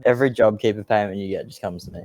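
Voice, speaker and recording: A man in casual podcast banter, joking in a deadpan way. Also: lowish voice, very fast, no echo. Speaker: podcast guest.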